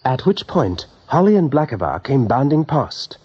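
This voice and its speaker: A man's voice: mid-range voice, big pitch swings, normal speed, a little mellow, breathy edge. A man narrating an animal saga as a storyteller in a scripted radio play. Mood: calm, storytelling. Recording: dull 1980s radio recording.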